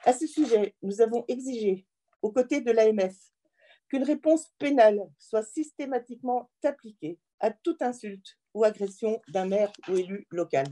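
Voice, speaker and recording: A woman politician reads a formal address. Her voice sits fairly high yet is very soft and mellow in tone, and her delivery is measured and earnest. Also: expressive. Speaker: member of parliament addressing local mayors. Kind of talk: formal prepared speech.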